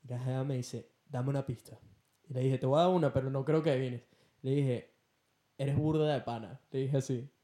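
A man's voice: mid-range voice, steady tone, brisk, warm tone. Venezuelan man swapping slangy stories. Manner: slangy, jokey storyteller who acts out dialogue. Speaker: podcast co-host. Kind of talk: casual anecdote-telling chat.

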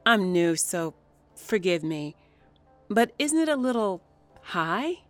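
Animated, politely needling woman newcomer. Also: mid-range voice, takes it slowly, faint music behind. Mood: falsely polite. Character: ambitious young female studio newcomer, politely needling. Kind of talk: scripted audio drama acting.

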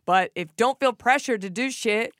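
A woman's voice: a little high, moderate pace, fairly bright tone, no echo. A woman with a Texan accent, a guest on a studio podcast, chatting in a brash, breezy, playful way. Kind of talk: casual chat.

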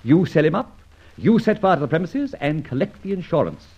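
An actor playing a suave, sardonic gentleman thief who speaks with theatrical command in an old radio drama. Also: on the high side, very animated, brisk, slightly rough, heavily acted. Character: suave gentleman thief, adult man, mocking. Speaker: lead character. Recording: dull old radio recording.

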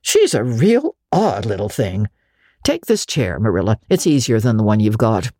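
A woman's voice: very deep voice, big pitch swings, moderate pace, mellow, slightly rough, no echo, clean studio sound, full character voice. A woman voicing a kindly but officious older country woman, folksy, hearty and outspoken, in a storybook reading. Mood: musing, approving. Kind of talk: dramatic audiobook reading.

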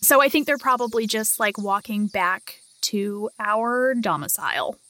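A woman's voice: medium pitch, unhurried, pushed and bright. A woman playing in a tabletop game, speaking eagerly and earnestly in character. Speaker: player.

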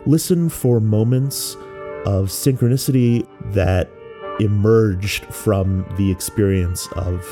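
Male, lowish voice, thoughtful and measured musician explaining his process, music bed behind him. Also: warm tone. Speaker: musician and composer. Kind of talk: explanatory talk about making experimental music.

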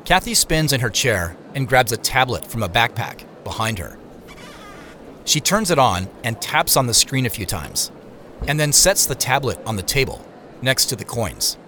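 An actor playing a weary, wry man who narrates his own secret quest in an audio drama, in a measured way. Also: mid-range voice, very animated, sharp tone, a little hiss or hum. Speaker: narrator and lead character. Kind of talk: first-person audio drama narration and dialogue.

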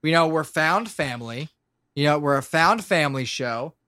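A man's voice: a little high, lively intonation, moderate pace, sharp tone, no room sound, clean studio sound. A man in his late twenties, a podcast host, chatting casually and rambling with lots of swearing and restarts. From Pennsylvania. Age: 20s.

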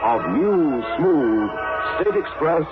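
A man's voice: on the high side, big pitch swings, takes it slowly, loud music behind the voice. A man announcing a 1960s radio advertisement with an emphatic, polished sales delivery. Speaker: commercial announcer. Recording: muffled old radio recording.

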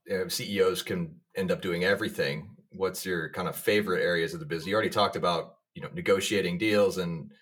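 A man's voice: sits low, fairly even intonation, quick pace, hardly any pauses, fairly bright tone. A man hosting an interview, asking loose, meandering questions. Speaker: interview host.

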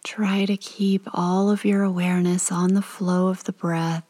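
A woman with a fairly low, soft voice guides a meditation. She speaks very slowly and calmly, leaving space between gentle instructions. Her tone is dark and relaxed, turning breathy at times. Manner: calm, gentle, soothing instruction with pauses.

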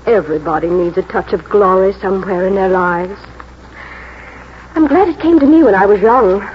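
Village mother for vintage radio drama, played by a woman: middle-aged, proud, reflective, medium pitch, muffled sound. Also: normal speed, close to an everyday voice. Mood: wistful pride. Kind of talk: 1940s radio drama acting. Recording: muffled hissy 1940s radio recording.

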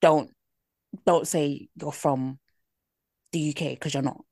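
A young woman with a British accent in casual podcast chat, earnest and animated, often checking "do you know what I mean". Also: deep voice, slow pace, no echo, clean studio sound.

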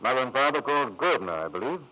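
A man's voice: mid-range voice, sing-song, rapid-fire, close to an everyday voice. Man acting a guarded bachelor doctor, mid-range voice, terse, dry disapproval, old radio drama, dull audio. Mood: reproachful. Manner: guarded, terse, dryly reproachful. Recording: dull vintage radio recording.

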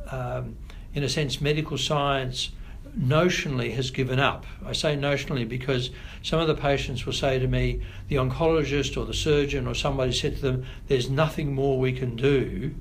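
Measured Australian man, unhurried. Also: medium pitch, gravelly, slight background noise. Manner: measured, thoughtful, pauses often. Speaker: guest, family doctor. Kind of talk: podcast interview.

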